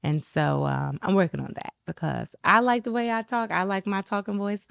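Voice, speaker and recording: A woman in casual podcast conversation, an animated storyteller who acts out what other people said. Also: moderate pace, vocal fry, clean tone, no room sound. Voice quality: describes her own talking voice as high-pitched and squeaky.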